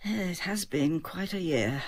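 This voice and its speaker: A caring but sharp older matriarch in a radio drama. She presses with measured, probing questions. Her pitch moves freely, and the recording is close and dry. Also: lively intonation, no echo, heavily acted. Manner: probing, measured, gently firm.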